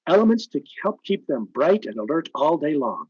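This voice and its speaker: Male vintage radio pitchman: husky, swooping intonation, persuasive and emphatic, muffled old broadcast sound. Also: very animated, normal speed, darkish tone, no room sound. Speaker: announcer. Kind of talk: old-time radio announcing and advertising. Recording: dull, band-limited old-time radio sound.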